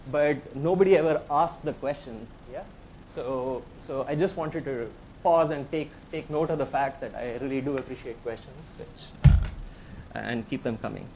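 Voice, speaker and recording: Man, Indian accent, fairly high voice, conversational lecturer, faint background hiss. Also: moderate pace, darkish tone, rough recording. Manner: conversational, explains step by step and invites questions. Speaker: professor giving a tutorial. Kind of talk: technical lecture.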